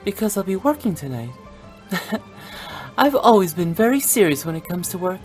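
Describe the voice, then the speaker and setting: A mischievous young woman who runs a funeral parlor in a video game, voiced by an actress. She teases with a lively, sing-song lilt at a mid pitch. Faint game music plays behind. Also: moderate pace, natural voice. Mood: playful. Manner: teasing, playful.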